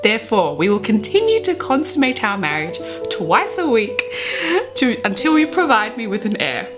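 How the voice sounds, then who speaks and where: A woman in her twenties talking casually and dramatically to camera in a bedroom, gushing with drawn-out emphasis. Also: on the high side, huge pitch swings, music in the background. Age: 20s. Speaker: book vlogger. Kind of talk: casual chatty vlog with dramatic reading aloud. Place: small bedroom, indoors.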